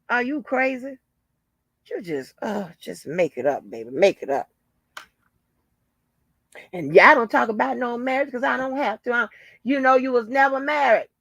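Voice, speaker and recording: Hoarse woman cackling through gossip. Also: a little high, dry recording. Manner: cackling, profane, calls listeners baby and honey. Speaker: channel host. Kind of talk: casual gossip commentary.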